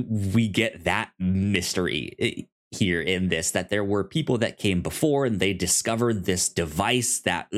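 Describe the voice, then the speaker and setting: A man co-hosting a podcast, casually recapping a show and often rephrasing himself as he explains. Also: low voice. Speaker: podcast co-host.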